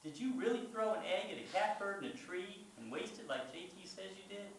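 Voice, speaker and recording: A man voicing a tired, disappointed rural mother in a told story, measured and gently stern. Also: high-pitched, a little hiss or hum, pitched above the performer's own voice, close to an everyday voice. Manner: slow, measured, reproachful. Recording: very echoey room, far from mic.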